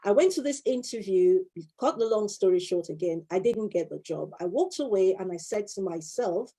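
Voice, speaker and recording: A woman's voice: medium pitch, animated, moderate pace, dark tone, slightly rough, poor audio. A woman in her fifties, a life coach and former solicitor, giving an earnest motivational talk and repeating her key phrases for emphasis.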